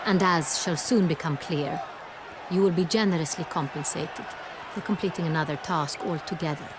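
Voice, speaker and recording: An actress playing an imperious, effusive young duchess, speaking in a regal, precise, theatrical manner. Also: female voice, lowish voice, moderate pace, background noise, close to an everyday voice. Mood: haughty, brisk. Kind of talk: theatrical game dialogue. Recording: music and crowd behind the voice.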